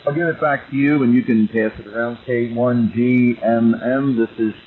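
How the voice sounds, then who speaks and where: A man's voice: mid-range voice, fairly even intonation, moderate pace. A man making a casual amateur radio call, hesitant and self-effacing, heard over a radio signal. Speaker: amateur radio operator, caller. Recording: muffled ham radio signal with static. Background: faint radio noise.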